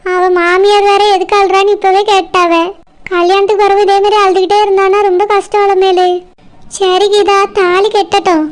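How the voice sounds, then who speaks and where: A woman voicing a chatty village cartoon story, taking several roles in a lively way. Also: extremely high pitch, normal speed. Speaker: cartoon narrator and voice actor.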